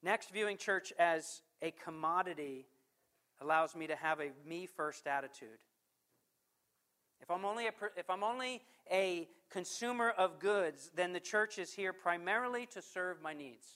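A man preaching a sermon as pastor. He puts questions to the congregation, slows down to stress scripture, and swings from forceful to hushed. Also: high voice. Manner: emphatic preaching with rhetorical questions.

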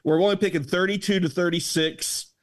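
A man's voice: high-pitched, fairly even intonation, fairly quick. A man hosting a casual podcast, explaining the rules in an upbeat, explanatory way while music plays behind him. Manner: upbeat and explanatory, walks listeners through the rules. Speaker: podcast host running a draft lottery. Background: music playing behind him.

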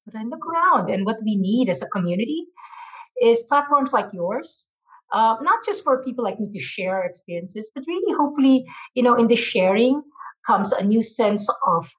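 Earnest middle-aged woman, slightly muffled. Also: on the high side. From Philippines. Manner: earnest, emphatic, with many fillers. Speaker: guest, marketing executive. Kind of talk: reflective interview answers.

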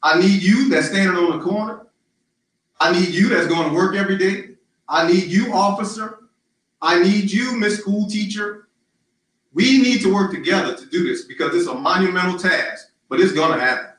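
A man running for city council, answering interview questions in a loud, emphatic, rallying way with lots of repetition. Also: high voice, normal speed. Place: live-streamed social media forum. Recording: very echoey, distant mic.

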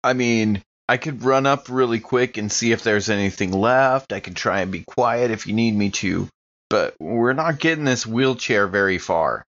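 A man's voice: mid-range voice, moderate pace, fairly bright tone. A man playing a tabletop role-playing game, speaking improvised dialogue in a laid-back, slangy way, on a dull recording. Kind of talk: casual improvised in-character dialogue.

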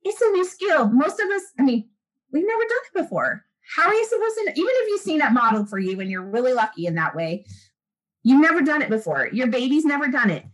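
Woman, high voice, earnest chatty guest talking frankly with plenty of "you know"s. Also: sing-song, fast talker, rough recording. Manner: earnest, chatty, lots of fillers. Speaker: guest, breastfeeding expert. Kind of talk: casual interview talk.

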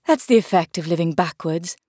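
A woman plays a kindly, careless queen from a storybook, fairly low in voice and lively in pitch. She tosses out nonsense in an airy, matter-of-fact way. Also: fairly quick, no room sound, studio quality.

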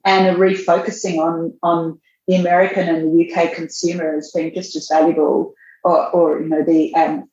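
A woman, a magazine editor answering interview questions in a measured way, thinking aloud as she goes. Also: lowish voice, a little mellow, slight background noise. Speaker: fashion magazine editor, guest. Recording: roomy, dull lo-fi sound.

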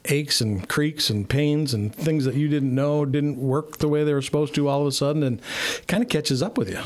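A man in his mid fifties co-hosting a casual chat, informal and somewhat halting, repeating words as he goes. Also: a little high. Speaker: co-host.

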